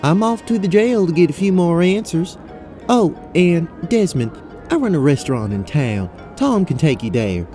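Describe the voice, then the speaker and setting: An actor playing a dainty, polite Southern belle adventurer with a Southern US accent in a fantasy audio drama. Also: female voice, on the low side, big pitch swings, moderate pace, dark tone, soft background music. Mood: polite, confiding. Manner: genteel, courteous.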